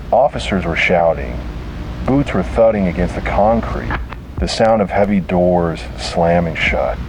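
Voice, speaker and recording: A man's voice: low-pitched, noisy background. A man narrating a scripted first-person detective horror story.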